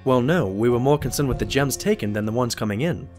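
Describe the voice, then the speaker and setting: Pony doctor sidekick male actor: mid-pitched, animated melody, courteous yet skeptical, audio drama. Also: quick pace, soft background music, lightly acted. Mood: incredulous.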